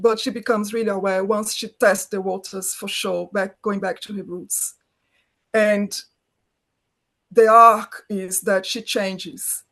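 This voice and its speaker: A middle-aged woman from Brazil, a native Portuguese speaker, talking as a filmmaker guest in an interview, often restarting her phrases as she searches for words. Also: mid-range voice. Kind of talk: conversational interview.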